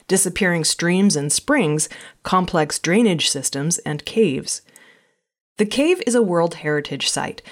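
A woman with a slightly low voice narrates news from a script. Her delivery is measured and formal, like a news reader presenting a weekly round-up of reports.

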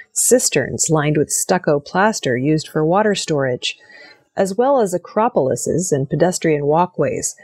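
A woman presenting scripted news in a measured, formal reading manner. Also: low-pitched, darkish tone, slightly rough, no room sound.

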